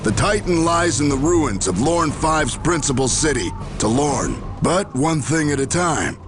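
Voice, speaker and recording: A man's voice: a little high, lively intonation, measured pace. A man playing a stern, battle-hardened general in a video game cutscene, speaking with grave, commanding military oratory. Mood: grim, resolute. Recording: music behind the voice.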